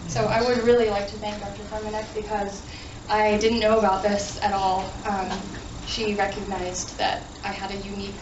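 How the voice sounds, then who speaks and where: A woman's voice: mid-range voice, level tone, moderate pace. Grateful young woman, muffled and distant. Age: young adult. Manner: grateful, with frequent ums. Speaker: graduate student. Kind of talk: short thank-you speech. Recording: dull, echoey, distant audio with noise.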